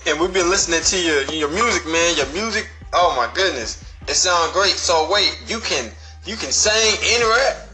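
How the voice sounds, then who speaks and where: An upbeat male radio host keeps the talk loose and chatty as he asks questions, his voice pitched on the high side. Music plays clearly behind him. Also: expressive, normal speed. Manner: upbeat, chatty, lots of "man". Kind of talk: casual interview chat.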